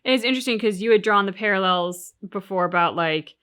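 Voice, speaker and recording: A woman hosting a reaction show, discussing things casually and analytically in measured, articulate phrases. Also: medium pitch, a bit bright, clear, resonant tone. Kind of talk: casual analytical discussion of video game story.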